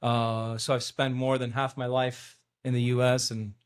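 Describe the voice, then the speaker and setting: Norwegian guy, early 40s, soccer coach, calm and easygoing, lots of ums. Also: mid-range voice, unhurried, smooth. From Norway. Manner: calm, plain storytelling with frequent ums. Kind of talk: casual interview answers.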